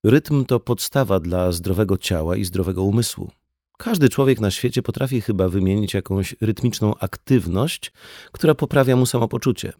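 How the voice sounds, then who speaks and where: A man's voice: fairly low pitch, normal speed, warm tone, no echo, studio quality. A man reading a conversational non-fiction audiobook as scripted narration. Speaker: audiobook reader.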